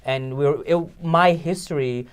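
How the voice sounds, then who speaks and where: A man's voice: on the high side, normal speed, slight background noise. Earnest man guest, thoughtful and reflective. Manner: earnest, reflective, many fillers and tag questions. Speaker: interview guest. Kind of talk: casual interview chat.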